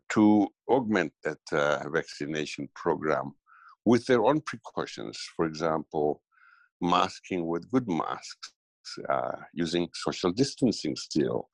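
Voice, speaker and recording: A middle-aged man from Tanzania giving a political interview, speaking haltingly with many fillers and restarts. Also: very deep voice, no echo. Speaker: guest commentator.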